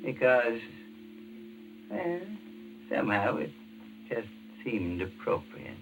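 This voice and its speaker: An actor playing a menacing, mock-courteous villain in a 1940s radio thriller, with a mannered, theatrical delivery. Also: male voice, medium pitch, big pitch swings, slow pace, low-quality recording. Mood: smug. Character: gloating male villain in surgical mask, menacing, theatrical, courteous. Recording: old radio broadcast with loud music.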